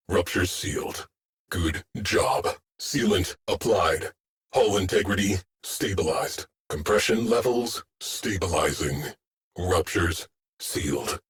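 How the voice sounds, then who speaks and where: A stern male starship AI from a sci-fi video game. The voice is on the low side and very slow, with short, clipped, deadpan military callouts. It stays stoic and terse, like an officer on the bridge. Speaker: ship's tactical AI officer.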